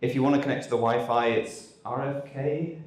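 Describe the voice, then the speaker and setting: A man's voice: mid-range voice, fairly quick. Man hosting in an echoey hall. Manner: relaxed, conversational, with frequent ums. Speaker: conference host. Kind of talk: opening remarks on stage. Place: conference hall.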